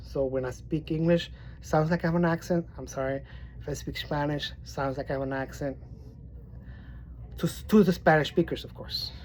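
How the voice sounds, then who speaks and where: A man's voice: a little high, fairly even intonation, normal speed, a little mellow, slight background noise, rough recording. A man from Miami with a mild speech impediment, chatting casually in a poetry vlog with plenty of restarts and fillers. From Miami, Florida. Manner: rambling, with many restarts and fillers. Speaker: poetry vlogger.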